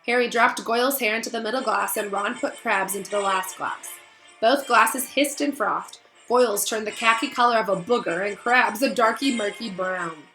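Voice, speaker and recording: A woman reading a children's novel aloud in expressive storybook narration. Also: a little high, normal speed. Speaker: storybook reader. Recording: roomy echo, faint music behind.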